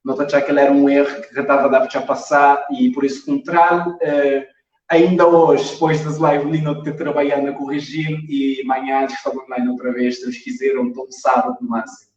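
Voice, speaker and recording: A man's voice: medium pitch, steady tone, quick pace. A man giving casual, conversational answers as an interview guest. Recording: muffled, roomy, distant mic on stream.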